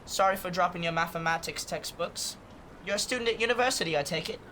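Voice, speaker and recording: A man's voice: high voice, fast talker, pushed and bright. An actor playing a scrappy, guarded street boy in an audio drama, with a British accent and terse, muttering speech. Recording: noticeable background noise.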